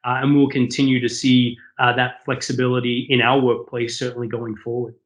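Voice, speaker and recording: A man answering interview questions as a corporate executive, conversational and explanatory, with measured business phrasing. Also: mid-range voice, flat delivery. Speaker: corporate shared services executive, interview guest.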